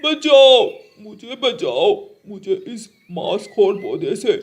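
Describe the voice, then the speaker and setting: A performer acts out a greedy, treacherous male crow for a children's cartoon. The voice is rough and husky, with slow, exaggerated delivery. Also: medium pitch, big pitch swings. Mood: desperate. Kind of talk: Hindi children's cartoon acting.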